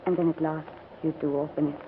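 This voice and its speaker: A woman's voice: sits low, level tone, quick pace, narrow, tinny audio, natural voice. An actress playing a brave, earnest, devoted young Frenchwoman in a 1940s radio drama. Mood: wistful grief. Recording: thin, hissy old radio recording.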